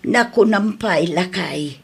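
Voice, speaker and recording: A woman's voice: on the low side, slow, vocal fry, slightly rough, exaggerated voice. A woman with an Ilocano Filipino accent playing a worried, reproachful village mother in an audio drama. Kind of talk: radio-style audio drama acting.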